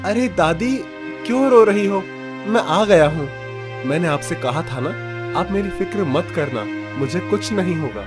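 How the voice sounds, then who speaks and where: A young fisherman acted by a man speaks in a fairly high male voice, dramatic and stubborn. He is a cartoon story hero, with music and rain clearly behind him. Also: animated, unhurried. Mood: reassuring. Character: young fisherman, bitter, suspicious, stubborn grandson.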